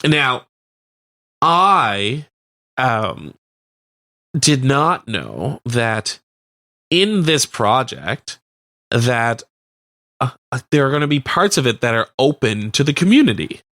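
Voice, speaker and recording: A Canadian man chats through local news as a radio co-host. His voice sits at an average pitch with a dry, close sound. The delivery is informal and a little halting, with a light joking touch. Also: big pitch swings, pushed and bright, no echo. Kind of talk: casual local news chat.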